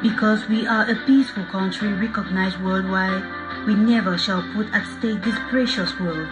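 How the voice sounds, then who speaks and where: Woman from Cameroon, solemn recited appeal, measured delivery, loud music bed. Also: mid-range voice, fairly even intonation, low-quality recording. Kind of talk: solemn recited peace message.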